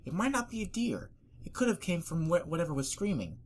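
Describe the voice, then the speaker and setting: Female unicorn leader acted by a narrator: raised pitch, earnest, sensible, take-charge, storybook. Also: big pitch swings, a little fast, a little hiss or hum, close to an everyday voice. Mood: urgent.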